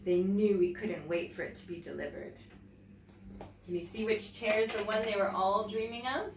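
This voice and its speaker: A woman teacher reading a picture book aloud to children in an expressive storytelling manner, pausing to comment and ask questions. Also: medium pitch. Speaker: teacher and story-time host. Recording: roomy, distant mic, faint background noise.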